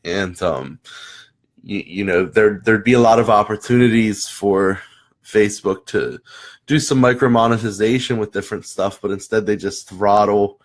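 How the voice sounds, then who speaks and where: A young man with a low, hoarse voice hosts a casual live chat for viewers. He rambles, restarts his sentences and fills gaps with ums and you knows. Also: steady tone, moderate pace. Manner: rambling, many restarts and fillers. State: hoarse after losing his voice. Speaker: host of a live marketing chat.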